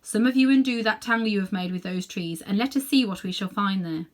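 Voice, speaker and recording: A majestic storybook lion, voiced by a woman reader, grave and ceremonious. He speaks in slow, commanding phrases, with solemn authority. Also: mid-range voice, natural voice.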